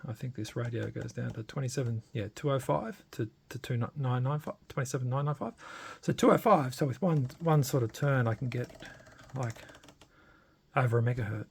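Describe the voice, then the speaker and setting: A man narrating a casual, unscripted hands-on demo, informal and halting as he thinks aloud. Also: medium pitch, vocal fry, a little hiss or hum. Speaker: hobbyist radio modifier presenting a demo.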